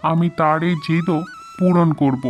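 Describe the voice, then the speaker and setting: A man's voice: fairly high pitch, fairly even intonation, measured pace, lo-fi sound, close to an everyday voice. A man playing a proud, doting king and father in a fairy-tale cartoon, speaking formally. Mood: commanding. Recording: music under the voice.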